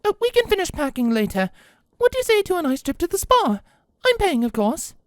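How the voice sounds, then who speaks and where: Man voicing an elegant high-pitched female pony. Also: sing-song, a little fast, no room sound, clean studio sound. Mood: gently coaxing. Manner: genteel, fond of saying darling. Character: elegant, caring young female pony, refined and gracious.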